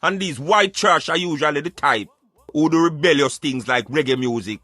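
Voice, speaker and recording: A Jamaican man with a fairly high, bright voice holds forth in thick Patois. He is emphatic and argumentative, preaching his opinions like a talk show commentator. The sound is close and dry, with music underneath. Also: moderate pace, no room sound. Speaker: radio show host.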